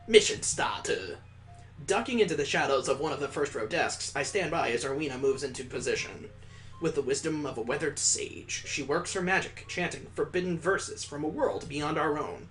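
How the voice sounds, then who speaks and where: Man, fairly high voice, theatrical game narration, mock-epic, music behind. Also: normal speed, a bit bright, low-quality recording. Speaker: gameplay host reading a visual novel aloud. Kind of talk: dramatic storybook-like narration of game text.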